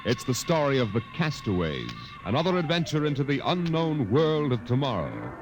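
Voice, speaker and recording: A man's voice: mid-range voice, wildly swooping pitch, normal speed, lo-fi sound, over music. Male narrator, dramatic old radio promo, varied intonation, musical backing. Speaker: radio narrator announcing next episode.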